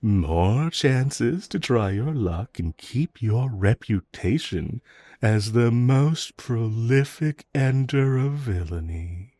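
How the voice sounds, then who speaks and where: A man playing a smug, flirtatious villain in an audio roleplay, teasing and coaxing the listener with self-assured, drawn-out phrasing. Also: mid-range voice, lively intonation, mellow, dry recording. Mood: persuasive, flirty.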